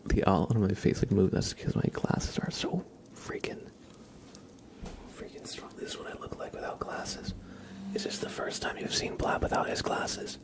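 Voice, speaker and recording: A man chatting casually on an ASMR livestream in a soft, often whispered voice, relaxed and rambling, close to the microphone. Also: fairly low pitch, normal speed. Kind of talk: ASMR, casual chat with viewers.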